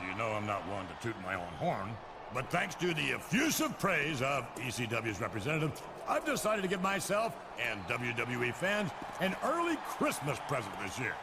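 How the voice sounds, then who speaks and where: A man playing a self-important wrestling boss in a game, giving a pompous, theatrical promo speech. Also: medium pitch. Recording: noisy game arena audio.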